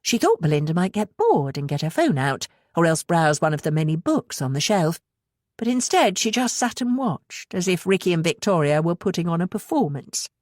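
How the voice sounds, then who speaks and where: Husky low-voiced woman narrating fiction. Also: big pitch swings, normal speed, darkish tone, no room sound, studio quality. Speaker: audiobook narrator.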